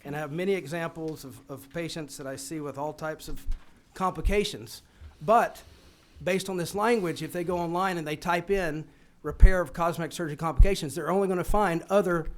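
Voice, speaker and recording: A man's voice: a little high, fairly quick. A man, an eye surgeon, testifying before a legislative committee, hesitant with many fillers and restarts. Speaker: physician testifying.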